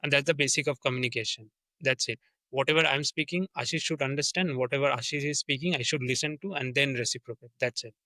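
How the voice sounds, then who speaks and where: Bright-voiced Indian man explaining earnestly. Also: mid-range voice, fast, dry recording. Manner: earnest, restarts often, many "uh" fillers. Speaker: sales development representative, guest. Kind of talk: casual interview conversation.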